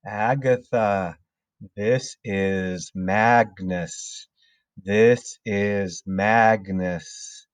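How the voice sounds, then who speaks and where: A man voicing a stern ghostly male spirit in a storybook, speaking in a deliberate, commanding way. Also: lowish voice, fairly even intonation, clean tone, no room sound. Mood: eerie, commanding.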